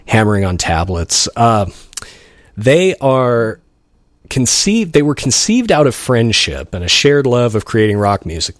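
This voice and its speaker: Male radio DJ, middle-aged, lower register, dry close sound, loose ad-libbed patter with wry humor. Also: no room sound. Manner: wry, joking. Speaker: radio DJ and host. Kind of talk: casual ad-libbed radio chat.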